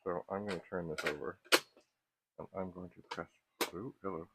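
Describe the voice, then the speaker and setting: A middle-aged man giving casual, unscripted tech commentary as he works, thinking aloud in a halting, self-questioning way. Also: sits low, fairly even intonation, creaky, heavy background noise. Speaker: host of a vintage computer repair channel. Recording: muffled, phone-like narrow band.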